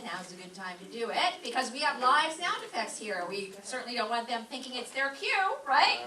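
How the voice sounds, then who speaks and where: A woman's voice: a little high, sharp tone. A woman giving a chatty, joking, informal welcome speech to an audience as the director of a class show. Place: live show room with small audience. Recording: roomy, distant mic, faint noise.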